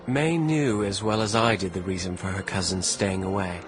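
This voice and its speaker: An actor playing a genteel, wistful young New York gentleman in an audiobook drama, speaking in a measured, formal and refined way. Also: low-pitched, steady tone, close to an everyday voice. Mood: wry, reflective. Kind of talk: audiobook drama narration and dialogue. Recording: music behind the voice.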